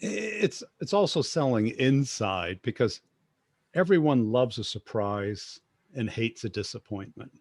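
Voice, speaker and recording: A man's voice: mid-range voice, expressive, measured pace, a little mellow, no room sound, clean studio sound. A man hosting a sales podcast, chatting casually and making short, wry asides. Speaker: podcast host. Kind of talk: casual interview chat.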